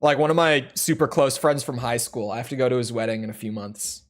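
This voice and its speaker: A man's voice: mid-range voice, a little fast. A man in his early twenties streams a video game and chats casually, rambling and joking at his own expense. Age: early 20s. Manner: rambling, joking and self-mocking. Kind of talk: casual chat while gaming.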